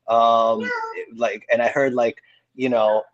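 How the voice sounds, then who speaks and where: Man, 40s, podcast guest, casual rambling talk, mid pitch, dry close recording. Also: slow, no echo, lo-fi sound. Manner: relaxed, rambling, lots of fillers and restarts. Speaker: hardcore band vocalist, podcast guest. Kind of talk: casual interview chat.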